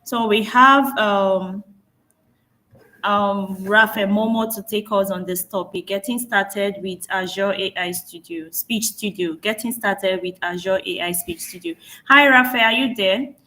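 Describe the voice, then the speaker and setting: A woman's voice: medium pitch, unhurried, bright, forceful tone, poor audio. A woman introducing the next speaker at an online community session over a video call, hesitant and full of fillers. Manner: hesitant, many fillers and restarts. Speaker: session moderator.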